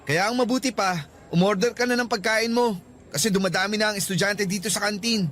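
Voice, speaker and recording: High-voiced young Filipino college guy. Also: fairly even intonation, brisk, fairly bright tone, slight background noise. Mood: defensive, doubtful. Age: young adult. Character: smitten male college student, easygoing but defensive. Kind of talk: radio drama acting.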